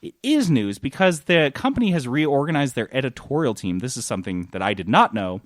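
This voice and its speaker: A man's voice: mid-range voice, very animated, a little fast, no room sound, studio quality. A man co-hosting a gaming news podcast in casual banter, with a sarcastic, joking, sometimes profane way of talking. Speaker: podcast co-host.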